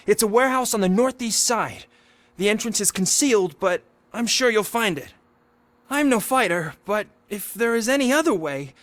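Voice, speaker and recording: A man's voice: high voice, fairly bright tone. A man playing a scared, loyal teenage petty thief in a video game, anxious and pleading.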